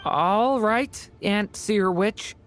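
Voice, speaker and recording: Slow, gentle, polite young boy. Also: mid-range voice, a little hiss or hum. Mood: earnest, hopeful. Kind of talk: video game voice acting.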